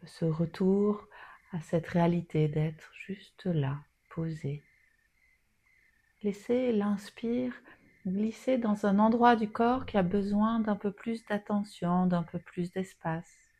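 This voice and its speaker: A woman leading a guided yoga nidra meditation in a soothing, soft manner with long pauses. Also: mid-range voice, steady tone.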